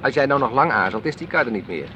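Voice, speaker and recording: A man's voice: medium pitch, lively intonation, fast talker, sharp tone, lo-fi sound, slight background noise. Brusque, teasing man, swooping intonation. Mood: brisk, teasing. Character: impatient, grumbling, teasing man on a park outing. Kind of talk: naturalistic Dutch radio play acting.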